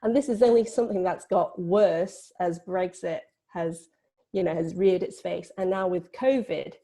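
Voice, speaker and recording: Creaky, mellow-voiced woman, reflective. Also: on the low side, normal speed. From born in Vietnam, grew up in Britain. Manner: hedging, frequent "you know" and "kind of". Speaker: film producer on a panel. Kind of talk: informal, reflective panel discussion.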